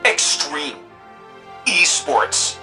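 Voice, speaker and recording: A woman voicing a breezy, excitable comms contact in a sci-fi game, casual and playful with exaggerated, drawn-out phrasing. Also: deep voice, over music, exaggerated voice. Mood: excited. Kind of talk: scripted game dialogue.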